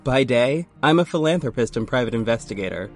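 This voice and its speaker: A man's voice: mid-range voice, lively intonation, brisk, music in the background, lightly acted. A man playing a resolute vigilante hero and private investigator, narrating a scripted audio drama. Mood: solemn, determined. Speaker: podcast host and audio drama actor.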